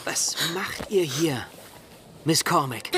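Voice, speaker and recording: An actor playing a charming, teasing rogue in a pirate-era radio drama, with a theatrical, halting delivery. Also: male voice, a little high, expressive, sharp tone, slight background noise, heavily acted. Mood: intrigued. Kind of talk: German radio drama acting.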